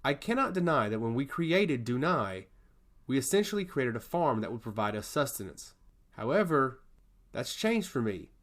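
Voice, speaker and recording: An impassioned male god of chaos from a fantasy storybook, voiced by a man reading aloud. He speaks in earnest, emphatic monologues with widely swinging intonation and a mid-range pitch. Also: sing-song, normal speed, close to an everyday voice. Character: passionate male god of chaos who loves humanity. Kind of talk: fantasy storybook character dialogue.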